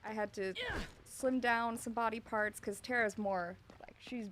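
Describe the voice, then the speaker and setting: A woman's voice: a little high, steady tone, unhurried, a bit bright, slight background noise. A woman speaking as a game artist on a panel, explaining her work in a casual, conversational way.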